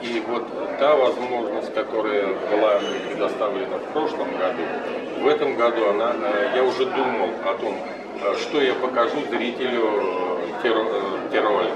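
A man, a visiting painter, speaking hesitantly with many pauses and fillers. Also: lowish voice. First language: Russian. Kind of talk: speech to a camera at a fair. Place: large art fair hall, indoors. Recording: echoey fair hall, camera far off, crowd behind.

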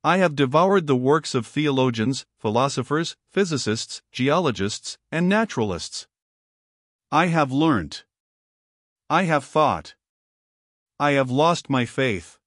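A man reading the part of a haughty, learned male fallen angel in an audiobook, calm and impassive, in a dry, close sound. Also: medium pitch, slow, no echo.

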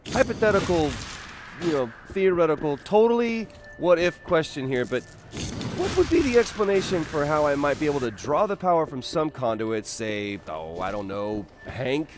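Cocky young rebel, fairly high-voiced. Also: male voice, very animated, moderate pace, noisy background. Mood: teasing. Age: young adult. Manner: sarcastic, playful. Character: cocky, wisecracking young male rebel hero. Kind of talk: naturalistic video game acting. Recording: music behind the voice.

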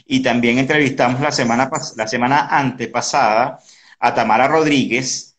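A man in his early fifties hosting a casual interview on a video call, speaking haltingly and often repeating words. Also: mid-range voice, fairly even intonation, fairly bright tone. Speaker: interview host. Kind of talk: casual conversational online interview. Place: indoor room at home. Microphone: video call, device close in front.

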